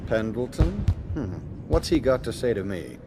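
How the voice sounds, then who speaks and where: A man's voice: medium pitch, sing-song, moderate pace, vocal fry. An actor playing an arrogant, impatient, foul-mouthed nobleman duelist in a video game, brusque and full of contempt. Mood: contemptuous. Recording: echoey, loud music behind.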